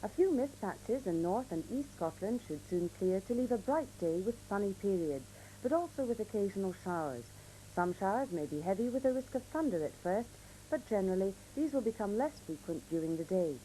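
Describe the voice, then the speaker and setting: A woman newsreader delivers a scripted regional bulletin in a mellow, mid-pitched voice. She is formal and measured, recorded on an old off-air videotape with faint noise. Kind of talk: scripted regional news and weather reading. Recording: noisy old VHS off-air tape.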